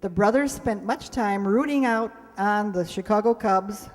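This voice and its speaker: A woman's voice: mid-range voice, a little slow. Woman hosting live in a reverberant hall. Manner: informal, coaxing, ad-libbed asides. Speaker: host of a live show. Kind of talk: live stage announcing to an audience. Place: hall before a live audience.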